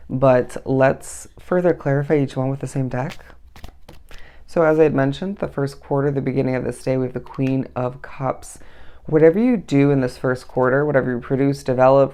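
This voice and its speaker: Tarot reader with a raspy, gravelly, tired voice. Casual, musing delivery, keeps checking in with the listener. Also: mid-range voice, warm tone. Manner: meandering, musing, often asks the listener "okay?". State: tired, up at three in the morning. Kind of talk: daily tarot reading to camera.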